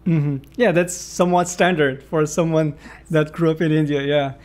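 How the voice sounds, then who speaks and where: A man's voice: fairly high pitch, steady tone, normal speed, a little mellow. A man hosting an interview, reading a formal guest introduction and then asking friendly, encouraging questions. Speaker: interview host. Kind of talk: podcast interview.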